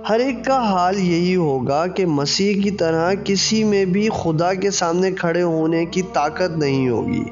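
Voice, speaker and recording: A man's voice: high voice, takes it slowly, hardly any pauses, a little mellow. A man reading a religious novel as scripted narration, with a formal literary delivery. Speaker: audiobook narrator. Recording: dull lo-fi recording with music behind. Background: background music.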